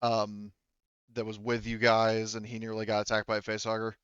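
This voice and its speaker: A man's voice: fairly low pitch, completely flat delivery, fairly quick, fairly bright tone, clean tone, no room sound, lo-fi sound. A man narrating a tabletop roleplaying game as game master in a casual, hesitant, improvising way.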